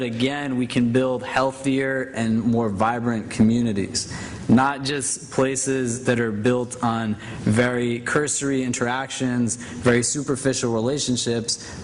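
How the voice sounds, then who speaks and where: A man's voice: medium pitch, a little hiss or hum, low-quality recording. A man moderating a conference panel on stage, speaking casually with a self-deprecating humor and plenty of fillers.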